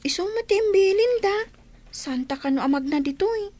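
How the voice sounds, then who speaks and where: A woman's voice: very high-pitched, animated, normal speed, darkish tone. A woman playing a worried younger child who pleads and nags in an Ilocano radio comedy drama. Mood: worried, scolding.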